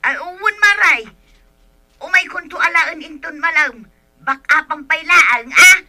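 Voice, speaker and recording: Woman acting a bright-voiced, flustered Ilocano village woman. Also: very high-pitched, a little slow, heavily acted. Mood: pleading. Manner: hesitant, full of filler interjections. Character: flustered, evasive village woman behind on payments. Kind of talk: comedic radio-style drama acting.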